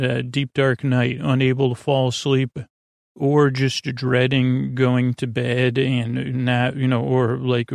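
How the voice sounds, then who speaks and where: Easygoing guy rambling on a sleep podcast, a bit of vocal fry, dry close mic. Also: medium pitch, darkish tone, no echo. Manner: meandering, self-interrupting, friendly rambling. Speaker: podcast host. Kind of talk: rambling bedtime podcast intro.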